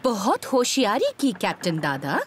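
Dry, scolding grandma pig. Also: female voice, medium pitch, wildly swooping pitch, measured pace, heavy background noise. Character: sensible old grandmother pig. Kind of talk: Hindi cartoon dub.

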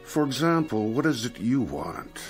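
An actor playing a suave devil who coaxes like a genteel salesman, with drawn-out theatrical phrasing, in a radio drama. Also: mid-range voice, big pitch swings, faint music behind, natural voice. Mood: playfully evasive.

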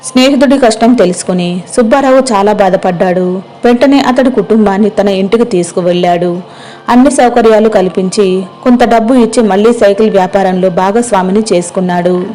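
A woman narrates a scripted moral story. Her voice is dark and soft-edged, at a medium pitch for a woman. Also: fairly quick, soft background music.

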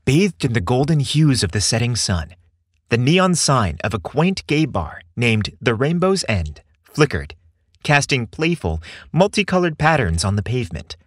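Expressive male storyteller with lively pitch. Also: a little slow, breathy edge, no room sound, clean studio sound. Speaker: podcast host and narrator. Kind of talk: scripted story narration, romantic audio tale.

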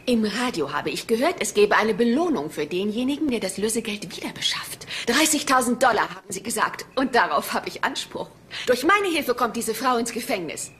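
A woman's voice: on the high side, big pitch swings, fairly quick, fairly bright tone, a little hiss or hum. An actress playing a naive, chatty woman angling for a reward in a German crime radio play, rambling and ingenuous. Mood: coy, eager.

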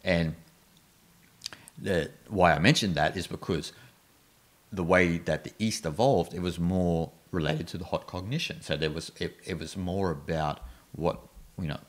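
A man in his thirties explaining ideas on a podcast, animated and full of fillers, at a desk mic in a small studio. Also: deep voice, a little slow. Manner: animated, gestures with hands, many fillers. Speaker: podcast host. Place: small studio with painted backdrop. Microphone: desk mic on stand.

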